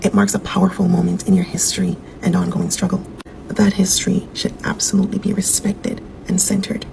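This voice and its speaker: A Jamaican American woman giving a measured, conciliatory response in formal wording. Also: mid-range voice, level tone, creaky, in a whisper, low-quality recording. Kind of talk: measured spoken response to another poster.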